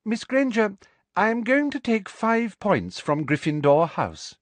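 A man voicing a prim, sugary, vindictive middle-aged female teacher in a smooth, condescending manner for a storybook. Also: high-pitched, big pitch swings, unhurried, dry recording, pitched above the performer's own voice. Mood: shrill, indignant.

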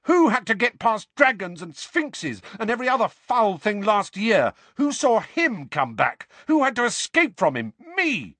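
A man's voice: on the high side, expressive, a little fast, hardly any pauses, bright, forceful tone, slightly rough, dry recording, pitched above the performer's own voice, exaggerated voice. A man voicing a bitter, furious teenage wizard boy in a storybook, accusing and sarcastic. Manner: explosive, accusing, demanding.

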